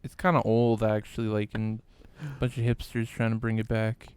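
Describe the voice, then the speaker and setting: Male podcast co-host, smooth clear tone, laid-back and dry, close dry mic. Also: lowish voice, steady tone, normal speed, a little mellow, dry recording. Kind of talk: casual chat.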